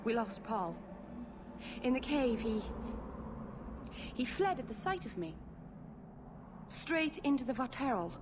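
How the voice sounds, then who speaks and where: A woman's voice: on the high side, very animated, takes it slowly, pauses often. A woman playing an earnest, stubborn young elf mage in a video game cutscene, speaking with open emotion. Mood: hurt, defiant. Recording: noisy game ambience behind.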